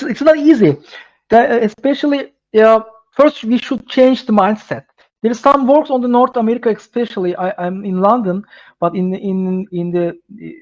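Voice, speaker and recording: A man with a Turkish accent and a fairly high voice, answering webinar questions in halting English, stopping and restarting his sentences. The sound is dull and lo-fi, like audio from a video call. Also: darkish tone. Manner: halting non-native English with many restarts and fillers. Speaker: webinar speaker. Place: London.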